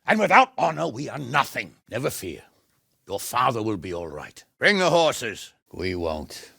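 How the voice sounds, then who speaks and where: A man playing a gruff, blunt, hot-tempered middle-aged medieval nobleman in a video game, commanding and scolding in a gravelly, husky voice. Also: medium pitch, sing-song, a little slow, bright, forceful tone, no room sound, clean studio sound, heavily acted. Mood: solemn, quiet. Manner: blustering, scolding, swearing, commanding.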